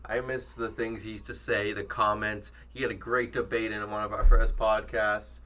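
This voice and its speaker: A man's voice: on the low side, level tone, bright, forceful tone, a little hiss or hum. A young man hosting a casual student podcast in a small studio, rambling and joking, his voice a bit worn from being sick. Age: young adult. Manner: rambling, jokey, quick laughs. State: losing his voice from illness. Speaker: podcast host. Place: small college radio studio.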